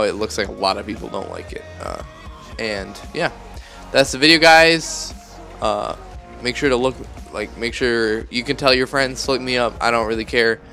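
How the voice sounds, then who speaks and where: A man's voice: mid-range voice, creaky, sharp tone. A young man who says he is sick and groggy, rambling casually as a gaming YouTuber over gameplay footage. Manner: rambling, chatty, self-correcting.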